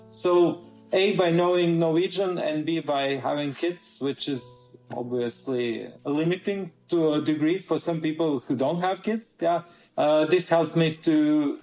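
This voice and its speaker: A man's voice: fairly high pitch, a little mellow, reverberant, low-quality recording. A man from Croatia with a Croatian accent, a guest in a conversational interview, earnestly laying out his points in order.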